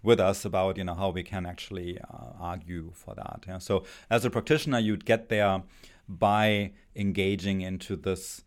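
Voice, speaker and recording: A middle-aged man with a German accent, a philosophy professor speaking as a guest, halting and thoughtful with many pauses and restarts. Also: deep voice, fairly even intonation. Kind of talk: thoughtful academic conversation.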